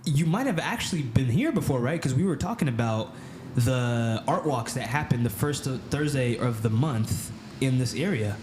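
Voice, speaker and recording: A man's voice: mid-range voice, expressive, normal speed, darkish tone, slight background noise. A man hosting a podcast, chatting casually in a friendly, affirming way and often calling his guest "man". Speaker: podcast host. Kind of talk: casual interview chat.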